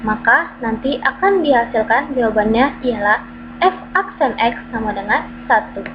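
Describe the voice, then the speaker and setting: A woman reading through a math lesson, stating formulas and worked examples in a steady, methodical, step-by-step way. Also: a little high, normal speed. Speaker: math tutor. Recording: dull lo-fi recording with clear background hum.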